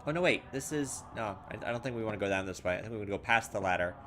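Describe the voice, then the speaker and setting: A man hosting a let's play, chatting casually and excitedly while he reads the game's text aloud. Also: fairly low pitch, very fast, a little hiss or hum. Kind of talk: casual game commentary, reading text aloud.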